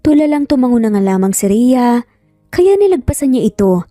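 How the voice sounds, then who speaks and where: A woman's voice: a little high, very animated, a little fast, warm tone, dry recording, clean studio sound. A woman narrating a scripted romance story in a dramatic storytelling style. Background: music.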